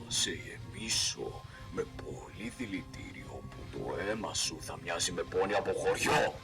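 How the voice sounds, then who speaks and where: A man voicing a boastful, curt, menacing killer monster in an amateur animated fandub. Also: deep voice, music in the background. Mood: irritated.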